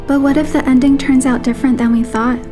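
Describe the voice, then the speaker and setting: An actress playing a gentle, earnest young woman, a game heroine, with a sincere, softly hesitant manner. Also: sits high, flat delivery, quick pace, close to an everyday voice. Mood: friendly, tentative. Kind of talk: soft game voice acting. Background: music.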